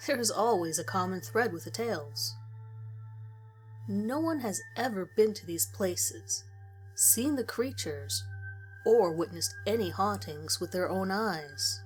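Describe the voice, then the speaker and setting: Woman, medium pitch, scripted horror storytelling, deliberate phrasing with pauses, music behind the voice. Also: lively intonation, low-quality recording. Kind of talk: scripted first-person story narration. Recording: music under the voice. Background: background music.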